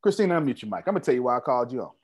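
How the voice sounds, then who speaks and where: A man's voice: mid-range voice, very animated, rapid-fire, a little mellow, husky. A man coaching a group on a video call, boastful and preachy, calling on people by name. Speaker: business coach hosting an online meeting. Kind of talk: animated motivational group call.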